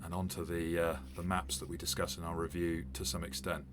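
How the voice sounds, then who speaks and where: A man's voice: low-pitched, completely flat delivery, a little fast, background noise. A man who runs tabletop games, giving a casual, rambling commentary while unboxing a game set, with many fillers and restarts. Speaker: game master and reviewer.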